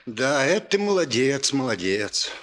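A man's voice: mid-range voice, sing-song, a little slow, fairly bright tone. An actor playing a chatty, homely elderly father who grumbles and marvels in a folksy, rambling way, in a 1960s radio play. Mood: musing wonder.

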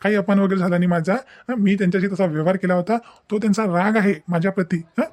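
A man's voice: high voice, fairly even intonation, quick pace, darkish tone, lightly acted. A man voicing a patient, devoted young husband in a cartoon family drama, coaxing and repeating himself. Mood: earnest, reassuring. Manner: coaxing, repetitive, tags phrases with "haan".

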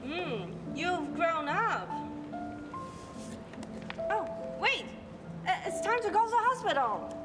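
A teenage girl with a Taiwanese accent playing a caring mother in a school stage play, with a deliberate delivery. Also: extremely high pitch, very animated, heavily acted. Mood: gentle. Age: teens. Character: caring mother, gentle and proud. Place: large school auditorium stage. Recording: echoey hall with music behind.

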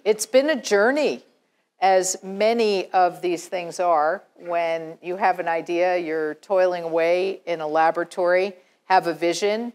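A middle-aged woman moderating a panel off the cuff, in a measured, pausing manner. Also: on the low side, darkish tone. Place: lecture hall.

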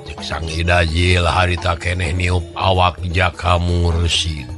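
A man's voice: low voice, monotone, slow pace, music in the background. A man with a Sundanese accent narrating a folk tale as a dramatic oral storyteller.

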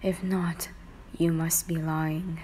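A woman voicing a cool, protective teenage boy in a romantic roleplay, murmuring low and breathy with a curt manner. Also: little pitch movement, slow pace, dark tone, slight background noise. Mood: cold, scornful. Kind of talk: romantic fan-fiction roleplay ASMR.

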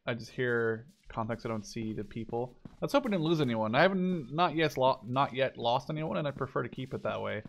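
A man's voice: medium pitch, lively intonation, fairly quick, creaky, hardly any pauses. A young man giving casual, informal let's play commentary on a game. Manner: informal, sweary. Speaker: gaming video creator.

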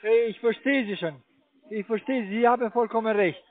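A German-speaking man, working as a call center agent and giving halting but polite, insistent computer instructions. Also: sits high. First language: not German. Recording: tinny, band-limited phone line.

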